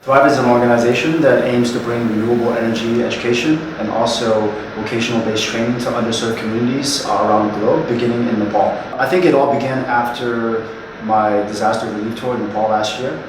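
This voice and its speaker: A man's voice: medium pitch, steady tone, fairly quick. A young man from Hong Kong, co-founder of a student nonprofit, answering interview questions sincerely, with many fillers. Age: young adult. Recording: echoey room, distant mic, noisy.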